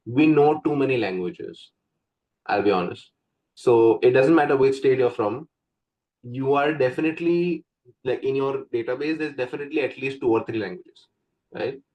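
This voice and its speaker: A man's voice: mid-range voice, normal speed, dull audio. A young man from India with an Indian accent, a Hindi native speaker, talking casually as an English coach in an interview, full of "like" and "right?" fillers. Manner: chatty, frequent "like" and "right?" fillers.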